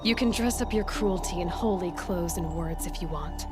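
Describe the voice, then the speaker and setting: An actress playing a blunt, defiant young captive hunter in a video game, scornful and challenging. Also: female voice, fairly low pitch, moderate pace, close to an everyday voice. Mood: scornful defiance. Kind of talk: dramatic video game acting. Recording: dramatic music behind the voice. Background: music.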